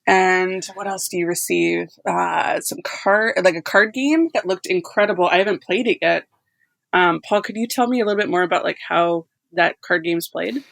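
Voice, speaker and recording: A woman's voice: lowish voice, moderate pace, vocal fry, fairly bright tone, no echo. A woman from a podcast team chatting informally, often dropping "like" into her sentences. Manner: informal, peppered with "like". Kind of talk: casual chat.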